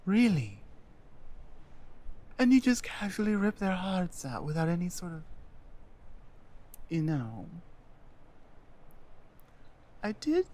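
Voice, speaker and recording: A woman voicing a strong, smitten female adventuring healer in an intimate fantasy ASMR roleplay, speaking haltingly with breathy, sultry asides. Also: extremely low pitch, mellow, a little hiss or hum, voice pushed down, heavily acted. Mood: curious, bemused.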